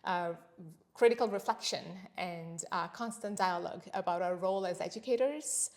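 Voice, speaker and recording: Thoughtful Italian-born woman lecturer. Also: lowish voice. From Italy. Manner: thoughtful, measured, with frequent pauses and fillers. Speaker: senior lecturer, interview guest. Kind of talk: academic interview conversation.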